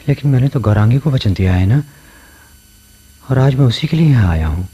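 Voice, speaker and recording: A man's voice: lowish voice, dark tone, breathy edge, highs cut off, full character voice. A man playing an earnest, kindly, lovelorn man in a radio play, with dramatic delivery. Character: earnest, kindly, lovelorn man torn between two women. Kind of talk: Hindi radio play acting.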